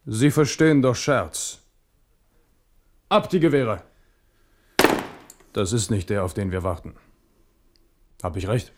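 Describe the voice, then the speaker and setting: A man's voice: on the low side, lively intonation, slow pace, long pauses. An actor playing a proud, careworn French king in a German radio play, declamatory, commanding and ironic. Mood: mocking, commanding.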